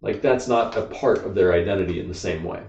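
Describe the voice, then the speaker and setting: A man's voice: sits low, quick pace, darkish tone, far from the mic. An American man, a podcast co-host, explaining ideas at length in casual discussion, full of "like" and "you know.". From United States. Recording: dull, echoey room, off-mic.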